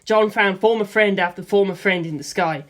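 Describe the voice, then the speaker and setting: A woman narrating a first-person story in a steady, matter-of-fact way. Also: medium pitch, normal speed.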